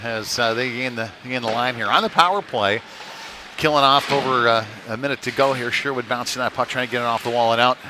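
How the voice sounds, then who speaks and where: A man's voice: mid-range voice, brisk. A man doing live play-by-play of a youth hockey game in a loose, folksy running style. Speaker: play-by-play commentator. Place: indoor ice rink. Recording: noisy live rink stream, background din.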